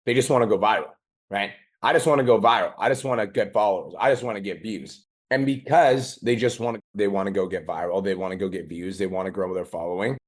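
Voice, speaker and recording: Hoarse, raspy man ranting on his podcast. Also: on the low side. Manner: blunt, swears constantly, mocks excuses in quoted voices. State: hoarse from days of talking. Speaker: podcast host and business coach. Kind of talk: profane motivational podcast monologue.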